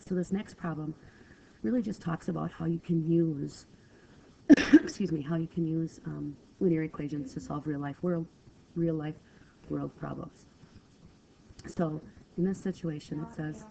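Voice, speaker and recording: A woman's voice: low voice, gravelly, warm tone. A woman teaching a math lesson over a screen recording, talking step by step in a patient, apologetic way, a little stuffy from a cold. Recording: dull lo-fi screen recording with faint hiss.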